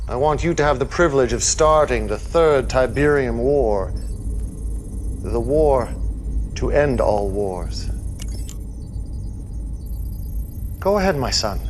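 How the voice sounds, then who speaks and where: An actor playing a zealous, commanding cult leader in a video game cutscene, speaking in solemn, deliberate, preacher-like oratory. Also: male voice, mid-range voice, lively intonation, slight background noise, natural voice. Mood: proud.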